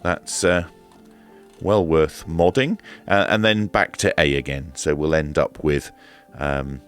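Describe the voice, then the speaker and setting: A man giving a hands-on equipment review in a measured, matter-of-fact, explanatory way. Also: a bass voice, faint music behind. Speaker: presenter of a radio equipment review. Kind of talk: hands-on demonstration and review commentary.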